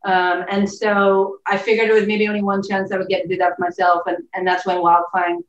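A woman's voice: medium pitch, flat delivery, brisk. A woman with a Northern Irish accent, chatting upbeat as a podcast guest. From Northern Ireland. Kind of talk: podcast interview, remote. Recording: echoey, distant, muffled call audio.

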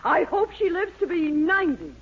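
A pushy scheming wife, high swoopy voice, old time radio sitcom. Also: female voice, very animated, moderate pace. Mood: scheming, excited. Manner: domineering, emphatic. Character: bossy, scheming, money-minded wife with henpecked husband. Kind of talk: 1940s radio comedy acting. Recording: noisy, muffled 1940s radio recording.

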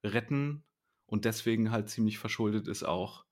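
Chatty podcast guy reviewing a show, voice on the low side, laid back. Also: normal speed. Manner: relaxed, chatty, laughs easily. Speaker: podcast co-host. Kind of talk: casual chat reviewing a series.

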